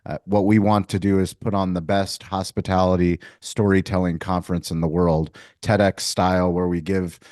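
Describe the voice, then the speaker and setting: A man's voice: low-pitched, little pitch movement, normal speed, hardly any pauses, a little mellow, no echo, studio quality. A man hosting an interview show in a conversational style, introducing the format and putting questions to his guest. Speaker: podcast host, restaurateur.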